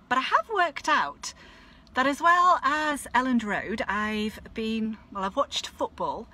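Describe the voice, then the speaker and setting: A woman minister from Leeds, giving a measured, reflective devotional talk. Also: a little high, bright, forceful tone, a little hiss or hum. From Leeds, England. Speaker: Methodist minister. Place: outdoors at a football stadium.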